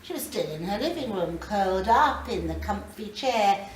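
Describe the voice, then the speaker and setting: A woman reading literary fiction aloud live, with measured, dramatic phrasing and pauses. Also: mid-range voice, animated. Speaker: reader of a short story. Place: library reading event, indoors. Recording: roomy, distant mic, faint background noise.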